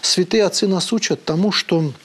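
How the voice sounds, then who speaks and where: A man with a fairly high voice lectures earnestly as the host of a program. He pauses often with hesitant fillers. He drops into a slow, solemn delivery whenever he reads a quoted passage aloud. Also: breathy edge. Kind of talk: lecture-style talk on words, reading quotations aloud.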